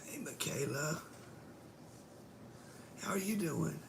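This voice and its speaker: A middle-aged woman speaks with a hoarse, gravelly voice that sometimes fades to a whisper. She rambles casually and swears now and then as she talks to live viewers from her bedroom. A faint noise hums behind her. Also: low voice, expressive, takes it slowly. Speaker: vlogger greeting live viewers. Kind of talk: rambling casual livestream chat.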